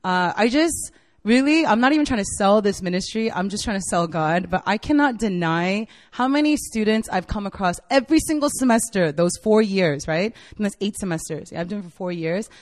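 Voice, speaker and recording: A woman in her late twenties gives a relaxed youth sermon to a college group, her voice sitting at an ordinary middle pitch for a woman. She talks in a chatty, eager way, filling her sentences with "like" and checking in with "right?" as she goes. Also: fairly quick, big-room sound. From United States (Virginia). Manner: chatty and enthusiastic, filler-heavy with frequent "like" and "right?". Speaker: campus ministry staff speaker. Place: university meeting room.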